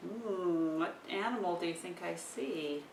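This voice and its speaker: A woman talks through a lesson in a casual way, thinking aloud as she goes. Her pitch is average. She sounds distant from the mic in an echoey room, with some low rumbling noise. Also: measured pace, vocal fry. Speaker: presenter modelling how to use a communication device.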